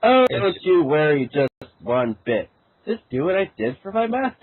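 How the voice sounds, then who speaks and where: A man's voice: very animated, moderate pace. A man reading a character's lines in an amateur story reading, mixed with casual chat. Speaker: amateur reader voicing a character in a fan fiction. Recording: tinny, noisy low-grade voice chat line.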